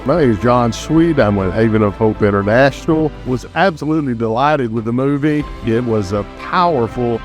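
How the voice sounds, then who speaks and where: A man's voice: medium pitch, normal speed, faint music behind. A man giving a film testimonial.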